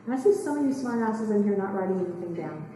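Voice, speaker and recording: Soft-voiced woman in an echoey hall. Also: mid-range voice, lively intonation, distant mic. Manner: quick, chatty, asks the room questions. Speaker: real estate investing speaker. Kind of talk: live seminar talk to a small audience. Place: meeting room, indoors. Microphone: far camera.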